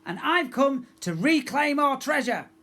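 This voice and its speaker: A fierce young goblin girl pirate captain, acted out by a man reading a storybook. The voice is pushed up high and loud, snapping orders with a short temper. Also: very animated, measured pace, exaggerated voice. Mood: fierce, commanding. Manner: shouting, snappy.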